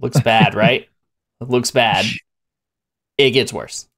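Casting: man co-host, unhurried casual reactor, headset mic, slow drawl. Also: mid-range voice, fairly even intonation, a bit bright. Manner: slow, drawn-out delivery with fillers. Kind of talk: casual chat.

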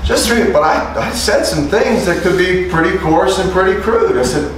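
A middle-aged man gives an animated teaching sermon, firing questions at his listeners and drawing amens back. His voice sits fairly high. The sound is very roomy and slightly distant, with steady noise underneath. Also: normal speed. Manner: animated, calls for amens, asks the room questions. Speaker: preacher teaching street preachers. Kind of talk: lively interactive sermon. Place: small indoor meeting room. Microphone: lapel mic.

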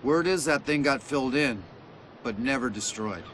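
A man's voice: medium pitch, animated, moderate pace, fairly bright tone, slight background noise, lightly acted. A man playing a laid-back, nostalgic veteran skateboarder in a video game, casual and slangy. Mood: reassuring. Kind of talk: video game cutscene acting.

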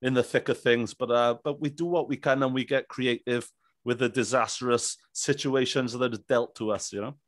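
A man's voice: mid-range voice, fairly even intonation, moderate pace, no room sound. Jovial man hosting, very dry sound. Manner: chummy, jovial. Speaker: podcast host. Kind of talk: casual interview chat. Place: small indoor room. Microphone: close boom-arm microphone with headphones.

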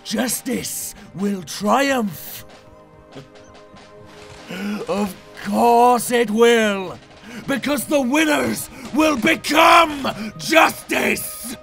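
Man as a grandiose, high-pitched pirate villain. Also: very slow, vocal fry, a bit bright, faint music behind, heavily acted. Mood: grandiose, scornful. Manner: grandiose, declaiming. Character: fierce cartoon pirate villain, grandiose and cynical.

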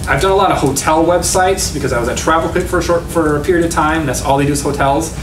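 A middle-aged man giving a casual talk at a meetup, often correcting himself as he goes. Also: fairly high pitch. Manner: casual, self-correcting, enthusiastic about design. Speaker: meetup speaker. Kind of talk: conference talk with slides. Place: meeting room with projection screen. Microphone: room recording from a far camera. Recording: echoey room from far off, noisy.

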